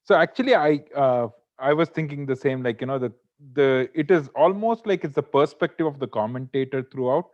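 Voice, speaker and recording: A man gives casual, conversational feedback in an online meeting. His pitch is average for a man, and the audio is somewhat dull and lo-fi. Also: brisk, darkish tone. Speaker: workshop participant giving feedback. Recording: dull lo-fi video call audio.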